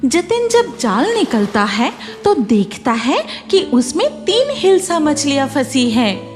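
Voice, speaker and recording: A woman's voice: high voice, big pitch swings, takes it slowly, over music. A woman delivering scripted narration for a children's cartoon story.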